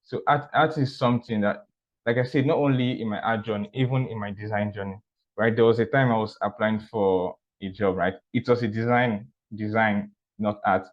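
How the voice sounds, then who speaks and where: A man sharing personal stories in a measured, casual way, often repeating words and asking "right?". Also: lowish voice, fairly even intonation. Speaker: art teacher and architecture student. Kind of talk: casual talk. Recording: dull, muffled recording.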